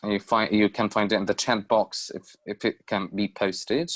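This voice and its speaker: A man moderating a video-call forum, speaking haltingly with many fillers and repeating his questions. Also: on the low side, steady tone. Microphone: computer mic on video call.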